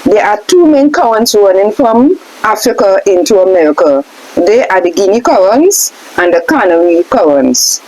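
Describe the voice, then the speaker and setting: A woman's voice: mid-range voice, a little mellow, poor audio. Female reader, mid-range voice with very varied intonation, occasionally restarts a phrase, slight hiss on the recording. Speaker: reader in a question-and-answer scroll reading. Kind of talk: reading aloud from a book. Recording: faint hiss in background.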